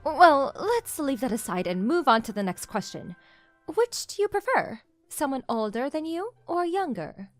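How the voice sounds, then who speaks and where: An actress voices a female company boss for a manga dub, higher-pitched and lively in melody. She is composed and formal but probes and teases, with soft music behind her. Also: moderate pace. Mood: composed, probing. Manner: formal, probing. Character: composed female company CEO with a teasing, probing streak.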